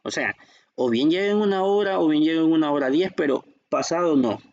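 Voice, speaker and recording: A man's voice: a little high, fairly quick, creaky, a little mellow, highs cut off. A man with a Salvadoran Spanish accent, teaching a math lesson from a textbook, patient and checking in with the class often.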